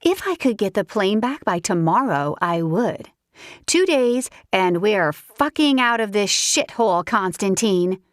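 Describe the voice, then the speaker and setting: A woman voicing a furious, haughty woman who is shrill and demanding in an audiobook. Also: sing-song, unhurried, dry recording, studio quality. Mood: seething.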